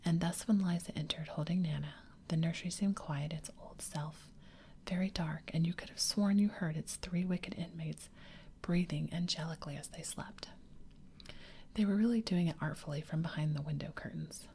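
A woman reads a storybook in a soft, breathy whisper, gentle and unhurried. Her voice sits very low. A faint hiss lies under the recording. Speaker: reader.

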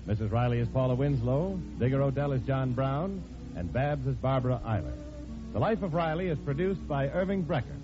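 A man's voice: medium pitch, expressive, brisk. An American man announcing an old radio show and reading its sponsor messages in 1950s style. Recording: muffled old radio recording, music behind.

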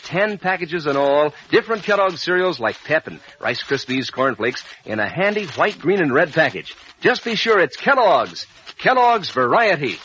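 A man's voice: very animated, normal speed, fairly bright tone. An American man announcing in a folksy, enthusiastic 1940s radio advertising style. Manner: folksy, enthusiastic sales pitch. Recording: muffled old radio recording.

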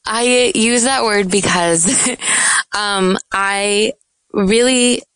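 A young woman poet with a soft voice, talking in a hesitant, reflective way in an interview. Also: mid-range voice, very slow, clear, resonant tone, no room sound. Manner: soft-spoken, hesitant, many fillers. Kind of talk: casual interview chat.

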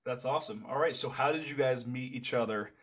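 A man's voice: mid-range voice, brisk, noisy background. A laid-back man hosts a podcast, asking casual questions in a mid-range voice. His speech is slangy and easygoing. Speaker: podcast host. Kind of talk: casual interview.

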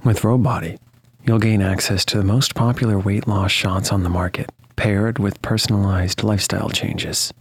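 A man's voice: on the low side, fairly even intonation, normal speed, breathy edge. A man delivering a scripted podcast advertisement as the ad reader.

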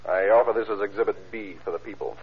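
An actor playing a formal, methodical prosecutor questioning witnesses in an old-time radio courtroom drama. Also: male voice, on the low side, fast, close to an everyday voice. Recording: tinny, band-limited vintage radio recording.

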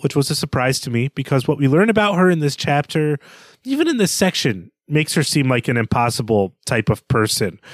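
A man's voice: mid-range voice, no echo. A man co-hosting a podcast, discussing books in a conversational, analytical way and chuckling as he talks. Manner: analytical, chuckles while talking. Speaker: podcast co-host.

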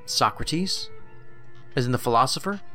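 An actor playing a calm, probing federal investigator in an audio drama, with a dry, measured manner. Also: male voice, medium pitch, faint music behind. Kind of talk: naturalistic audio drama acting.

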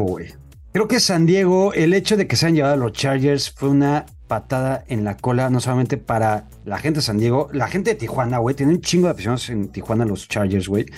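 A man's voice: medium pitch, a little mellow. A young Mexican man co-hosting a sports podcast, talking in a slangy, profane, opinionated way. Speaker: podcast co-host. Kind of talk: casual sports chat.

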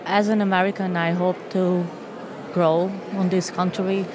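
A woman from Portugal, a new citizen, speaking slowly and hesitantly, restarting her sentences. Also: lowish voice, level tone, a crowd in the background. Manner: hesitant, slow, with fillers and restarts.

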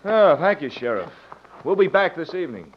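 An actor playing a smug, smooth-talking swindler with florid, jovial salesman patter in an old-time radio western. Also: fairly high pitch, big pitch swings, normal speed. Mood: affable. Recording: muffled, hissy vintage radio recording.

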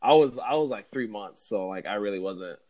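A man's voice: lowish voice, expressive, brisk, dark tone. A man co-hosting a podcast, asking questions in a joking, playful way. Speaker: podcast co-host. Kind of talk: casual interview chat.

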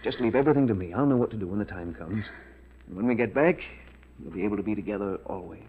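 Guy playing scheming lover man in 40s radio thriller, lowish confident voice, thin tinny old recording. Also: quick pace, slight background noise, close to an everyday voice. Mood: coolly persuasive. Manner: assured, coaxing. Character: smooth scheming lover, calmly murderous treasure hunter. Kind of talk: 1940s radio drama acting.

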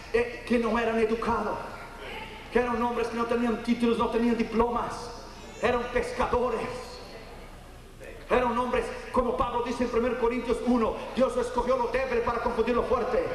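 Fervent preacher, echoing hall. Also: male voice. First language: Portuguese. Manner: fervent preaching, swinging between loud high peaks and low quiet storytelling. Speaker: evangelist preacher. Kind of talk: sermon. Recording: echoey hall heard from far off.

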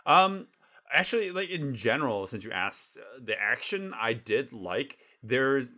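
A man's voice: mid-range voice, measured pace. A man who is a native Cantonese speaker, chatting casually and in a rambling way about films. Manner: rambling, animated. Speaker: film discussion podcast speaker.

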